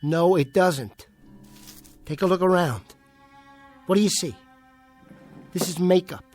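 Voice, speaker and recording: An actor playing an edgy, weary and defensive nightclub ventriloquist in a radio play. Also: male voice, high voice, slow, darkish tone, soft background music. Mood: irritated, weary.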